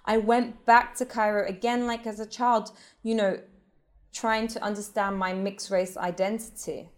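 A woman's voice: medium pitch, level tone, measured pace. A woman in her thirties, a professional belly dancer, telling her life story frankly and emphatically in an interview, often restarting her sentences. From England. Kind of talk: candid personal storytelling in an interview.

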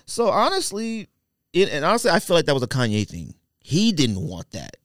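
A man's voice: fairly high pitch, huge pitch swings, moderate pace, dry recording, studio quality. A man co-hosting a podcast in casual, opinionated chat, talking in a rambling, repetitive, slangy way. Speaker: podcast co-host.

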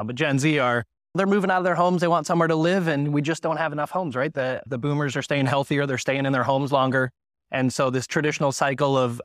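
A man's voice: mid-range voice, no room sound. Guy on a panel talking housing stuff, casual, lots of "right?", dry close mic. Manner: conversational, explains with quick examples, tag questions. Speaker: realtor on a panel. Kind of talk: panel discussion answer. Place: conference panel.

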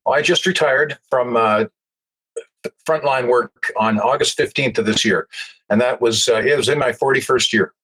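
A man's voice: medium pitch, normal speed, a bit bright, slightly rough, poor audio. A man in his sixties with a Canadian accent, telling stories conversationally as an interview guest. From Alberta, Canada. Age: 60s. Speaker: interview guest, retired paramedic. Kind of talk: conversational storytelling.

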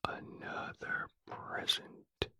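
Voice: very slow, no echo, exaggerated voice. A reader whispering the lines of a gloomy, mournful old donkey in a storybook. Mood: glum whisper. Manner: soft whisper.

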